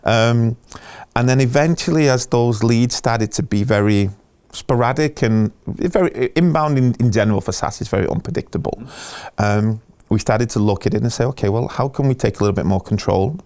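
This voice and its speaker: A middle-aged man telling stories of his career in a casual interview, animated and acting out the dialogue he quotes, with frequent restarts. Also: medium pitch, normal speed. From England. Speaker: guest.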